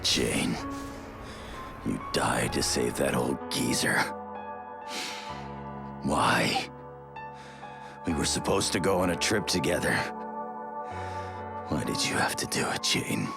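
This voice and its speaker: A man playing a gruff, tough man who hides deep grief and love, with halting, emotional delivery in a video game drama. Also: music in the background, full character voice. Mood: grief-stricken. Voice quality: very deep gravelly voice.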